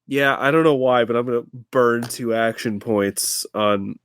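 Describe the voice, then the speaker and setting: A man's voice: medium pitch, a little slow, fairly bright tone, smooth, no echo, clean studio sound. A man chatting casually as a player in a tabletop role-playing game.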